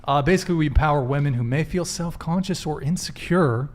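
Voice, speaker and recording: A man's voice: on the high side, fast talker. A man hosting a podcast panel, running through announcements and questions with joking asides. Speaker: podcast host. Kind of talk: casual panel talk.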